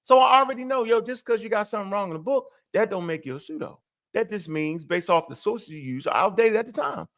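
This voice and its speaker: A man co-hosting a live online talk, speaking in an animated way full of streetwise slang and quick rhetorical asides. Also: high voice. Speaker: co-host. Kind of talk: casual live-stream chat.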